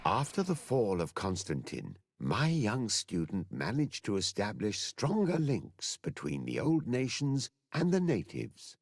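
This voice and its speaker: An actor playing an older male mentor narrating a video game epilogue in a measured, expressive storytelling manner. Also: fairly low pitch, gravelly, dry recording, clean studio sound, heavily acted.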